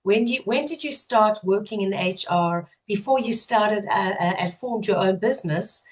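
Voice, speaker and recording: A woman's voice: medium pitch, normal speed, low-quality recording. A middle-aged woman hosting an interview podcast, asking long, winding questions in a friendly way. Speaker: podcast host.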